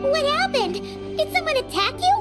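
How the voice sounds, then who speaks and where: A woman voicing a small, excitable childlike fairy companion in a video game, squeaky and exclamatory. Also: moderate pace, soft background music, heavily acted. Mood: alarmed, concerned. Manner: exclamatory, refers to herself in third person.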